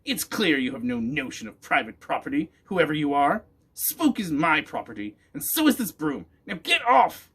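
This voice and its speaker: A man voicing a shrill, bossy female witch who shrieks indignantly in a storybook reading. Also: very animated, moderate pace, fairly bright tone, breathy edge, full character voice.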